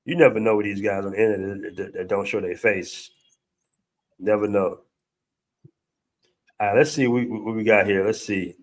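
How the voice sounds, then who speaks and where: A man's voice: on the low side, steady tone, normal speed, long pauses. A man hosting a live stream, reacting to clips in a laid-back, slangy way.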